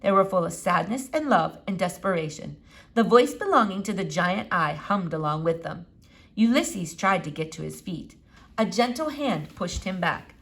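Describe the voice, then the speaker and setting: A woman narrating a children's book aloud in an expressive storytelling style. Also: lowish voice, moderate pace. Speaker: audiobook reader.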